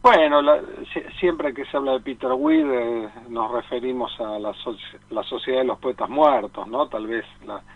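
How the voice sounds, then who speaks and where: A thin phone line carries an Argentine man of medium pitch. He speaks as a radio film columnist, reflective and conversational. His phrasing is halting, with repeated words as he works toward his point. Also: slightly rough. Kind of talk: conversational commentary. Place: remote call into a radio studio.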